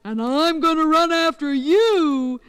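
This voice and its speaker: Woman putting on a sly smooth-talking wolf. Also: high-pitched, slow pace.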